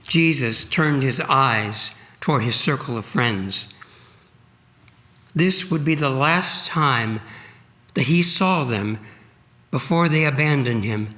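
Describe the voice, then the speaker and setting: A man reading a dramatic sacred narrative solemnly at a church service, measured and with deliberate pauses. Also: medium pitch, sharp tone. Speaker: reader at a church Good Friday service.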